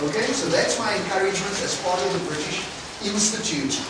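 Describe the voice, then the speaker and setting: A man's voice: high voice, brisk. Chatty South African man in an echoey classroom. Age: 40s. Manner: encouraging, chatty, laughs between points. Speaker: guest speaker and English teacher. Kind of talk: classroom talk. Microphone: distant camera mic. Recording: echoey room from far off, noisy.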